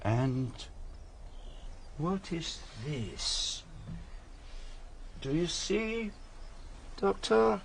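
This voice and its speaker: An actor playing a courteous, methodical foreign detective in a radio drama, deliberate and hesitant as he probes with polite questions. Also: fairly high pitch, big pitch swings, vocal fry, a little hiss or hum, heavily acted. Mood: curious, musing.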